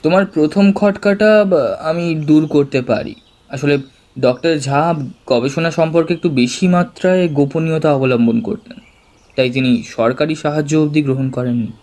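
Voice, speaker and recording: A man playing an earnest, excitable college student in an audio drama, hesitating and repeating words. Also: on the high side, normal speed, close to an everyday voice. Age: young adult. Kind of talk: Bengali audio drama acting.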